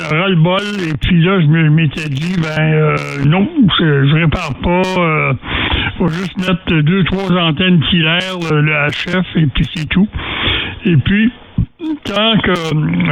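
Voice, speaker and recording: A man with a Quebec French accent chatting casually as an amateur radio operator, telling stories in a halting way, searching for his words. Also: fairly high pitch, fairly bright tone, poor audio. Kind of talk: casual radio chat, storytelling.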